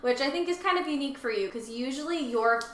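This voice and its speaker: A woman with a bright, clear-edged voice at a middling pitch chats as a co-host about a story. The room is very echoey, with faint noise behind her, and her delivery is casual and conversational. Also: animated, quick pace.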